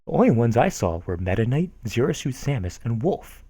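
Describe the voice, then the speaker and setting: A man's voice: medium pitch, very animated, brisk, warm tone, breathy edge, no echo. A young man reading online posts aloud to viewers, with amused, chuckling asides in between. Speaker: streamer reading forum posts.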